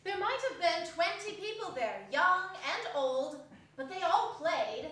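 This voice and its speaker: A woman's voice: very high voice, lively intonation, unhurried, fairly bright tone. A woman giving scripted, theatrical narration in a staged reading of a classic story. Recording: echoey hall, distant microphone.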